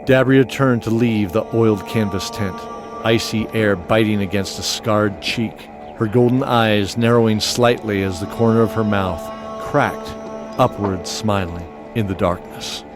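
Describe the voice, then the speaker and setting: A man's voice: lowish voice, steady tone, unhurried, over music. A man narrating a scripted fantasy story in a descriptive storytelling style.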